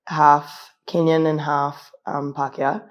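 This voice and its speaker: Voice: mid-range voice, level tone, takes it slowly, muffled recording. A musician with a New Zealand accent, speaking in an easygoing, self-deprecating way. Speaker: interview guest, musician.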